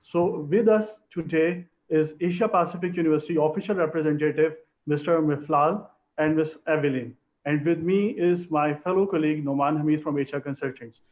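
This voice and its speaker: A man from Pakistan hosting a live online information session in formal, halting phrasing over a video call. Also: on the high side, steady tone. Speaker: host. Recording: tinny phone-like line.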